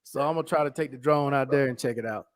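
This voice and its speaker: A man's voice: medium pitch, quick pace. A man in a casual podcast chat, talking in a laid-back, slangy way and calling his friend bro and dude.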